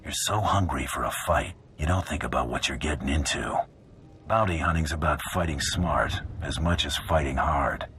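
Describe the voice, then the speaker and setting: An actor playing a gruff, cocky, seasoned bounty hunter in a video game, wry and unhurried in manner. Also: male voice, a bass voice, fairly bright tone, a little hiss or hum. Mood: confident. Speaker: player character in a video game. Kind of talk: video game cutscene acting.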